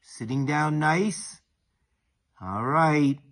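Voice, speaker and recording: A middle-aged man reading aloud to children as a teacher, in a playful, deliberate, expressive way. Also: on the high side. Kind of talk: children's story time read-aloud.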